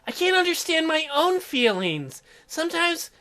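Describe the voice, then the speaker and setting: Man doing a soft, hesitant teenage girl. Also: very high-pitched, big pitch swings, normal speed, faint music behind, full character voice. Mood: awkward, hesitant. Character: confused, self-conscious teenage girl heroine.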